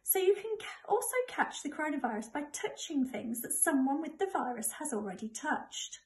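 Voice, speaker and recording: High-voiced English woman reading to children. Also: very animated, normal speed. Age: middle-aged. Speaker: primary school staff member reading to pupils. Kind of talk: children's book read aloud.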